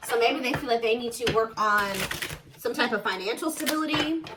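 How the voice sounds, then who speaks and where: Female tarot host, higher-pitched, conversational and assured, speaking straight to viewers over faint background noise. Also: fairly quick. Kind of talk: casual intuitive tarot card reading, speaking to viewers.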